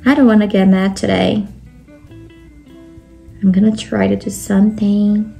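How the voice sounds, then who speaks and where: A woman's voice: medium pitch, flat delivery, measured pace, gravelly, mellow, faint music behind. A young woman from Brazil with a Brazilian Portuguese accent, talking through a makeup tutorial step by step and often saying "like". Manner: step-by-step explaining, frequent "like" fillers. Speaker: makeup vlogger.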